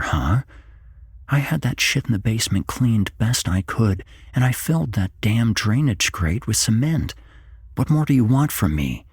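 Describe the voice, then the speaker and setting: A man acting out a stubborn, exasperated father in a whispered horror story. The voice is husky and full of gravel, argumentative and quick to swear, recorded dry and close. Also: lowish voice, dry recording, pitched above the performer's own voice, exaggerated voice. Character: angry, defensive father, adult man, foul-mouthed and stubborn.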